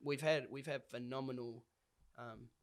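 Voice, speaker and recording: A man's voice: medium pitch, fairly even intonation, unhurried. Casual New Zealand man chatting. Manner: informal and slangy. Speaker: podcast guest, hip-hop artist. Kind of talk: casual conversation.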